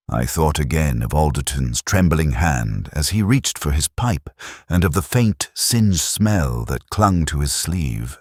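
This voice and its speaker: A man narrating a scripted first-person detective story in a measured, formal, literary manner. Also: very deep voice, husky, no room sound, clean studio sound.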